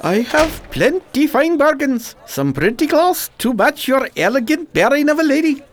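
An actor playing a boastful male bazaar shopkeeper who speaks broken English, in an amateur radio drama. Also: normal speed. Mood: eager, boastful. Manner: broken English, pushy and eager, lively pitch.

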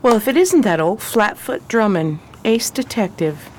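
An actress playing a shrewd, cool 1920s adventuress who talks tough and wry in a radio crime drama. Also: female voice, mid-range voice, very animated, moderate pace, a little mellow, a little hiss or hum, close to an everyday voice. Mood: defiant. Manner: wry, slangy, tough talk.

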